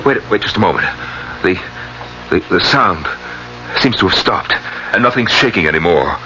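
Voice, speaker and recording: Deep-voiced anxious husband, muffled radio drama. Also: male voice, animated, moderate pace, bright, forceful tone. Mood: anxious, bewildered. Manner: theatrical, emphatic. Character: anxious, affectionate husband narrating a holiday mystery. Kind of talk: radio mystery drama acting and first-person narration. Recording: muffled old radio recording, music behind.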